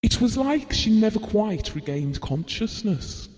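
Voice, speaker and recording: A gentle bereaved father tells his story in an intimate audio drama. His voice is slightly high for a man and mellow, with reflective, slow delivery. Long reverb hangs around every word. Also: big pitch swings. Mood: sorrowful. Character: Bill, grieving, gentle, practical husband and bereaved father. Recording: long reverberant hall sound.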